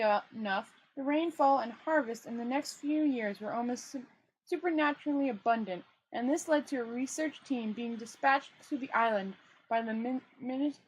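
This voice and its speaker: A woman's voice: on the high side, normal speed, breathy edge, rough recording. A woman reading a novel aloud in an informal amateur way, stumbling over words and adding casual asides.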